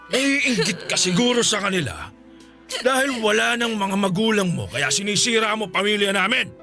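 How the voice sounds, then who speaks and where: A bitter, violent middle-aged uncle in a radio play, who hurls taunts and threats in a strained, very high voice. His tone is bright and gravelly, rising and falling wildly as he yells. Soft music plays behind. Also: expressive, normal speed, heavily acted. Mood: accusing. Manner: harsh, taunting, yelling threats. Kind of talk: radio drama acting.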